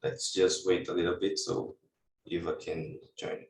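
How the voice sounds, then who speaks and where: Lowish-voiced man hosting a video call. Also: little pitch movement, unhurried, a little hiss or hum. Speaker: host of an online session. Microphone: computer mic on a video call. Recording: roomy, distant video-call audio.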